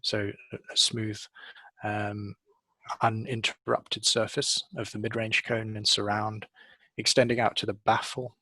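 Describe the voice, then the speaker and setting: Low, even-toned man explaining technology. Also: slightly rough, no room sound. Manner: hesitant, measured, pausing often. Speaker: audio engineer, interviewee. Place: office showroom, on video call. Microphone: headset.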